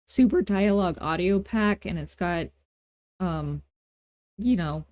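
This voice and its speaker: A woman's voice: lowish voice, lively intonation, takes it slowly, darkish tone, no echo. Woman giving a playful tutorial, dry sound. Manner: playful, self-deprecating, informal. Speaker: tutorial host. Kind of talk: casual screen-recorded software tutorial.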